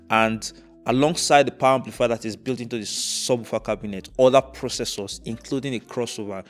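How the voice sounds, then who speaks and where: A young man presenting an instructional tutorial on audio gear in a measured, methodical way. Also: lowish voice. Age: young adult. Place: indoors, dark studio room.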